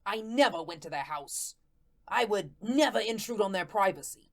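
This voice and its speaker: A woman's voice: medium pitch, lively intonation, normal speed, close to an everyday voice. A woman playing a naive, lovelorn male ghost who narrates his story in folksy, slangy talk, in the style of a radio play. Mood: wistful, earnest. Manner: folksy, colloquial, guileless storytelling.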